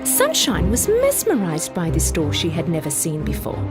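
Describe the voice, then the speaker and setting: A woman narrating a children's fairy tale from a script in an expressive, deliberate, dramatic storytelling manner. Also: on the low side, loud music behind the voice.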